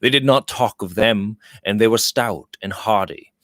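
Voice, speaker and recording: A man's voice: fairly low pitch, moderate pace, fairly bright tone, no echo, studio quality, close to an everyday voice. A man reading the part of a bitter, grieving young former cavalryman in a heavy, resigned manner from a storybook.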